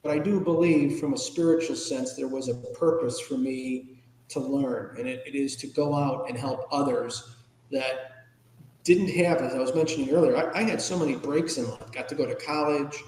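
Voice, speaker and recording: A man's voice: mid-range voice, moderate pace, darkish tone. An American man giving candid, informal, reflective answers as a podcast guest. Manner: candid, informal, occasionally salty. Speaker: podcast guest, ethics trainer and public speaker. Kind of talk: reflective personal interview answers. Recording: echoey room with long reverb.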